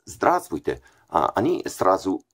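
Deep-voiced hesitant Italian man. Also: huge pitch swings, a little slow, a bit bright, breathy edge. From Italy. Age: 40s. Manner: hesitant, many fillers and self-corrections. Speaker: language learner vlogger. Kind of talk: casual talk to camera. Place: small bright room indoors.

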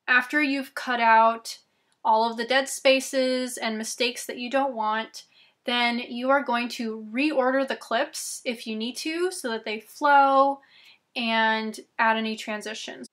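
A young woman with a bright, slightly high voice, talking slowly and carefully as she explains each step. She is losing her voice and adds chatty asides between instructions. Recorded on a camera mic in a small bedroom. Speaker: YouTube tutorial host. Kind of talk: screen-recorded software tutorial.

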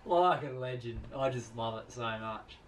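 Australian man, thirties, medium pitch, casual storytelling with quoted dialogue, boat cabin, slight noise. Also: moderate pace. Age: 30s. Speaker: sailing vlog host. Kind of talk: casual chat, anecdote. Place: small boat cabin at a marina. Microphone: camera across the table. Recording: faint background noise.

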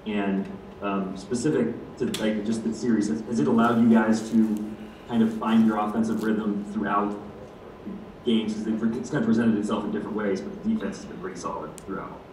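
A man's voice: on the low side, fairly even intonation, brisk, darkish tone, slight background noise. A man working as a sports reporter, asking a question hesitantly and rephrasing as he goes. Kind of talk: press conference question. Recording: distant, echoey line.

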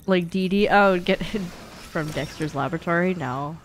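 A woman's voice: on the low side, unhurried, a little hiss or hum. A young woman giving casual live gaming commentary in a playful, slangy, chatty way. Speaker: streamer. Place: indoor streaming room. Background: horror game sounds.

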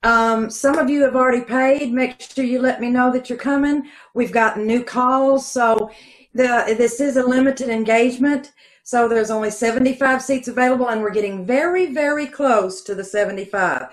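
A woman's voice: on the high side, normal speed. A middle-aged woman in a room at home, chatting and giving casual announcements over a video call. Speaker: co-director and producer of a radio troupe. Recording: roomy, echoing video call.

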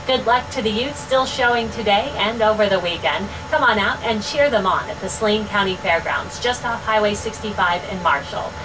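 A young woman reads a scripted daily fair update in a steady, upbeat manner, her voice sitting at an ordinary middle pitch. She is set back from the microphone, and a clear hiss runs under her voice. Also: normal speed, few pauses. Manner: steady, upbeat reading of results and schedules. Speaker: radio station fair update host. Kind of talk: scripted news update reading. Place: studio with dark backdrop. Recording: distant mic with noticeable hiss.

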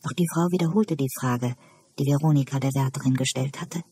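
An audiobook narrator reads literary prose at a medium pitch. The voice is very breathy and husky, with a dark, mellow tone. The sound is dry. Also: brisk, no echo. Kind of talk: scripted literary narration, reading a novel aloud.

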